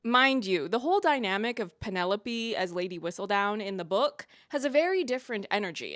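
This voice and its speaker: A woman's voice: medium pitch, a bit bright, no room sound, clean studio sound. A woman giving candid, emphatic, opinionated scripted commentary to viewers as a reviewer. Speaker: video essayist and TV reviewer.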